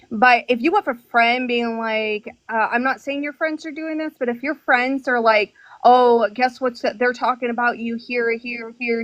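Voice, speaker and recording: A woman hosting a casual live-stream talk, speaking bluntly in a flat, questioning way. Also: fairly high pitch, dry recording, rough recording.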